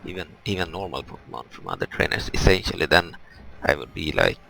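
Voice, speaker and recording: Man, rock-bottom pitch, bright forceful edge, casual game commentary, halting, explanatory. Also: very animated, creaky, low-quality recording. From Europe. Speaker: gaming video host. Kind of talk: casual let's play commentary.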